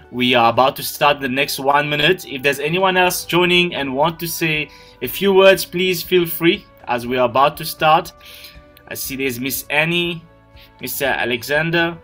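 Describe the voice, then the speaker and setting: A man hosts an online business webinar, warmly calling out attendees one by one. His voice is bright and forceful. Faint music plays behind him on the video call. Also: fairly high pitch, moderate pace. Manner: friendly, greets attendees by name. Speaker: webinar host. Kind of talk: welcoming webinar hosting.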